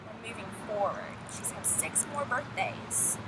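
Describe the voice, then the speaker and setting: Clear outdoor noise surrounds a woman with a fairly high voice. She is a teacher reading a storybook aloud for children. She addresses her young listeners directly as she goes. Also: animated, measured pace. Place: outdoors in the open. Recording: noisy windy outdoor recording with traffic.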